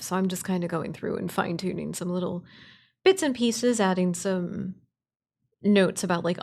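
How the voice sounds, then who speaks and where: A woman's voice: on the low side, vocal fry, a little mellow. A woman streaming casually as she works, talking in a playful, self-deprecating way. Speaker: streamer, writer and voice actor. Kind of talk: casual livestream chat while working.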